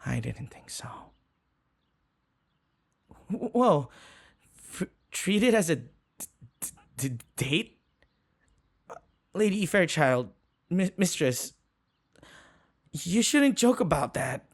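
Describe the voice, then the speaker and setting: A man's voice: on the high side, sing-song, slow, long pauses, breathy edge, heavily acted. A man playing a flustered, submissive young human servant in an audio roleplay, stammering, hesitant and deferential. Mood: hesitant, resigned, then flustered, pleading. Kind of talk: audio roleplay acting addressed to the listener.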